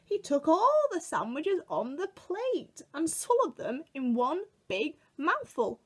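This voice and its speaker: A British woman in her twenties telling a picture-book story to young children in an expressive, exaggerated way, recorded indoors at home. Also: sits high, slow pace. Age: 20s. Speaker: children's museum worker reading a story to children. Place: small room at home, indoors.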